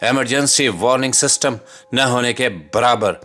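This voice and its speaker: A man's voice: mid-range voice, normal speed, a bit bright. A man with a Pakistani accent reads a scripted documentary-style commentary in a solemn, emphatic, rhetorical way. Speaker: host and narrator of a commentary channel. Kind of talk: scripted dramatic documentary voice-over. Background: background music.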